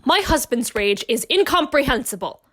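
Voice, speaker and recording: An actress playing a dismayed, reproving wife in a theatrical period audio drama. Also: female voice, sits high, expressive, normal speed, a bit bright, no room sound, clean studio sound.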